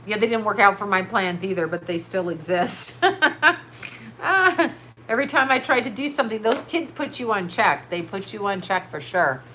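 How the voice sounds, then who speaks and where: A woman's voice: mid-range voice, very animated, moderate pace, sharp tone, a little hiss or hum. A middle-aged woman with a stuffy nose, chatting bluntly and doing a tarot reading for live viewers. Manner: blunt, swears, laughs often, scattered. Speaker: tarot reader answering live chat. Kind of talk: casual live-stream chat and card reading.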